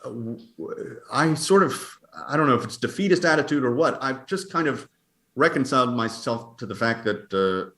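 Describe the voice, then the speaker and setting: A man answering interview questions conversationally as an astronomer, in a hesitant, halting, hedging manner. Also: mid-range voice, expressive, fairly bright tone. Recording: roomy, echoing sound.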